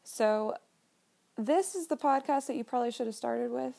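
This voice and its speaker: A young woman in her twenties, an esthetician hosting a casual solo podcast, with a nasal voice and a slow, drawn-out way of talking. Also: on the high side, gravelly, mellow.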